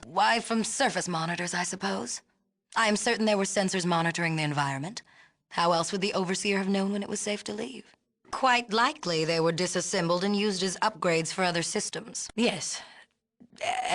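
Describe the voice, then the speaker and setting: A woman's voice: fairly low pitch, fairly bright tone, lightly acted. A woman playing a haughty, imperious leader of a city in a video game, speaking formally and precisely with a condescending manner. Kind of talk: video game character dialogue.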